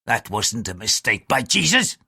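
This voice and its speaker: A man's voice: on the low side, big pitch swings, moderate pace, vocal fry, sharp tone, slightly rough, no room sound, studio quality, heavily acted. A man voicing a crude, lecherous male ship's bosun who leers and threatens. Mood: threatening. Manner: coarse, leering, threatening.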